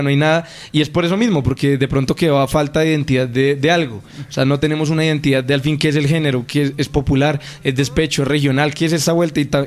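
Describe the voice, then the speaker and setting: Fast-talking singer. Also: male voice, medium pitch. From Colombia. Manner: eager, talks at length, passionate. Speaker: singer guest. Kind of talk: radio interview.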